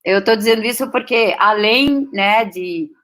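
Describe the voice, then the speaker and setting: A woman in her late fifties with German as her first language, giving a reflective personal talk to an audience, pausing often and restarting her sentences. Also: medium pitch, fairly bright tone. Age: 50s. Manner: slow, reflective, with many pauses and restarts. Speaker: guest speaker.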